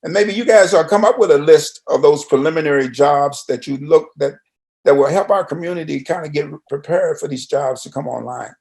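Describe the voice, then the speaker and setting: Older guy chatting casually, voice a bit high and full of fry, wanders and asks questions. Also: brisk, darkish tone. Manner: rambling, checks in with questions. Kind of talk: casual conversation.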